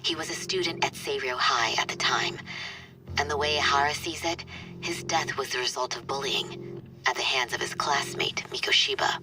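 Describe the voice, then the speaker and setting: A woman playing a serious, composed defense attorney with a measured, businesslike manner in a video game cutscene. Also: very deep voice, low-quality recording. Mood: analytical. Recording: game music under the voice. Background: music.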